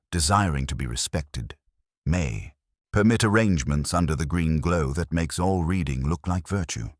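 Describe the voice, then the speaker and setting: A man with an extremely deep voice narrates literary detective fiction. His delivery is formal, dry and measured, yet the intonation moves widely. The sound is close, with no room on it. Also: dry recording. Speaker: audiobook narrator.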